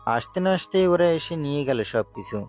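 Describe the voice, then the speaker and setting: A man's voice: fairly high pitch, animated, moderate pace, darkish tone, soft background music, lightly acted. A man playing an ambitious, bossy gangster plotting his rise in an audio story. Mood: scheming. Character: ambitious scheming male gangster underboss, commanding. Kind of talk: amateur Bengali audio-story acting.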